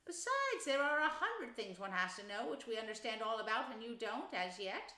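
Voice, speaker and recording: A woman's voice: fairly high pitch, very animated, normal speed, bright, forceful tone, echoey room, voice pushed up. A woman voicing a brave, good-natured male water rat in a storybook, with a cheery, emphatic and reassuring manner. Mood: soothing, advising.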